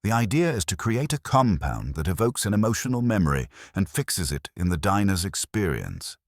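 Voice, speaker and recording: A man acts out a formal, earnest chemist in his late thirties for a detective audio drama. He sounds stiff and serious, low and slightly husky, with varied intonation. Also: big pitch swings, normal speed, no echo, clean studio sound, heavily acted. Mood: formal, anxious.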